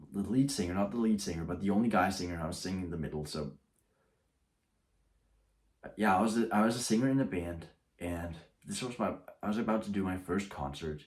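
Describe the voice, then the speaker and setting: A young man speaks casually to camera in a deep, low voice. He rambles, often breaking off and restarting his sentences as he shares his thoughts. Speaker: YouTuber.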